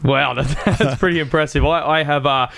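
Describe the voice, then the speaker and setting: Host: Aussie-accented man, chatty and upbeat, slightly high voice, dry close mic. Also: fairly even intonation, fairly quick, creaky, fairly bright tone, no room sound. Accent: Australian. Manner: upbeat, chatty, laughs often. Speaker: podcast host. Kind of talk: casual podcast interview.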